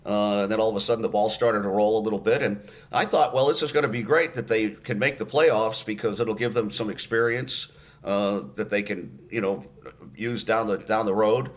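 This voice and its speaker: An older man, a radio play-by-play announcer, telling stories in a relaxed interview with many fillers and restarts. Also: lowish voice, normal speed.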